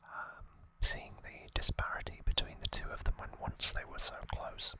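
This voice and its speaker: Whispering ASMR host, breathy and bright. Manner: gentle, unhurried, informal recapping.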